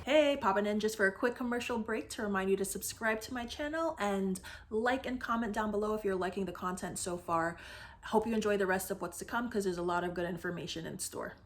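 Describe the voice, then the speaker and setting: A woman's voice: medium pitch, a little fast, slight background noise. A woman in her thirties, from Barbados, casually introducing a clip and speaking straight to her viewers. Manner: friendly, direct to the viewer. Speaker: channel host.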